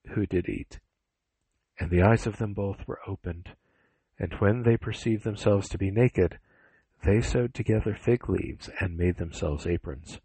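A man narrating scripture in a solemn, measured, dramatic storytelling manner. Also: low-pitched, a little mellow, breathy edge, dry recording, low-quality recording.

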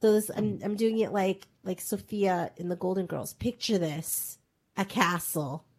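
A woman's voice: mid-range voice, measured pace, a little mellow. A woman retelling a reality show in casual chat, rambling and full of filler words. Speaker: podcast co-host. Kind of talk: casual pop-culture chat.

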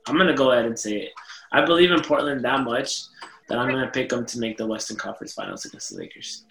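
A man co-hosting a casual sports podcast, relaxed and hesitant, with many fillers. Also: mid-range voice, fast talker, creaky, echoey room, a little hiss or hum, rough recording. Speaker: podcast co-host.

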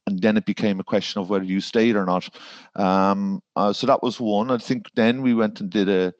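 A man's voice: fairly low pitch, steady tone, a little fast, dry recording, muffled recording. An Irish man, a garden designer, talking in a podcast interview and rambling with fillers and restarts. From Ireland.

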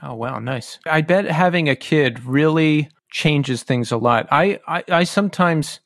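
A man's voice: fairly high pitch, a little slow, fairly bright tone, no room sound. A man hosting a podcast interview, chatty and self-deprecating, with nervous energy. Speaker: podcast host.

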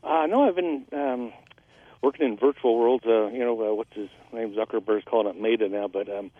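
A man calling in to a radio talk show, a voice actor chatting with many hesitant pauses. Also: medium pitch, no room sound. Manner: halting, many filler pauses. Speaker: caller, voice actor. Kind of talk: call-in chat. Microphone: phone. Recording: tinny phone line.

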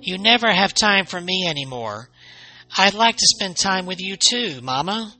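A man's voice: a little high, animated, slow, sharp tone, soft background music, pitched above the performer's own voice, exaggerated voice, poor audio. A man voicing a nervous, tearful young girl who whines and pleads in a scary story. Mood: upset, pleading. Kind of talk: horror storytelling character voice.

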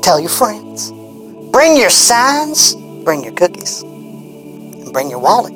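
A woman voicing a solemn, zealous announcer in a mock promotional rallying call, drawn-out and emphatic. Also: medium pitch, sing-song. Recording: New-age music bed behind the voice.

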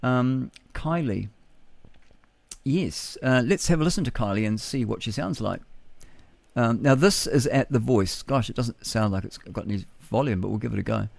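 A man's voice: medium pitch, moderate pace, gravelly, dry recording. A man hosting morning radio, chatting his way through the news with offhand asides and fillers. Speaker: radio host. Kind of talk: casual news commentary.

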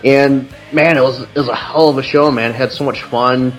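A man's voice: mid-range voice, steady tone, fairly quick, faint music behind, low-quality recording. A man from the Chicago area, co-hosting a podcast and telling a long story in a casual, profane, rambling way. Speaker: podcast co-host. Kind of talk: casual chat.

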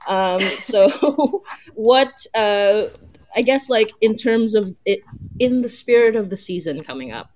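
A woman's voice: fairly high pitch, expressive, slow pace. A woman hosting a casual group chat on a live podcast, talking in a giggly, enthusiastic manner. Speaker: podcast host and creator.

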